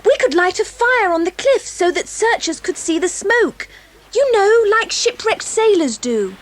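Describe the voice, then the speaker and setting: An actress playing an anxious, questioning child in a children's audio drama. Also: female voice, very high-pitched, big pitch swings, measured pace, full character voice, rough recording. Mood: worried, pondering.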